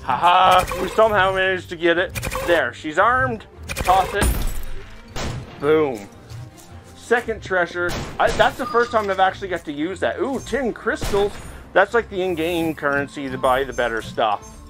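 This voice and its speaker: A man's voice: high-pitched, animated, a little slow. A man hosting a gaming video, chatting casually to viewers as he plays a VR game. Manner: chatty, talking to viewers while playing. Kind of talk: casual VR gameplay commentary. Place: indoors, playing in a VR headset. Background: game music.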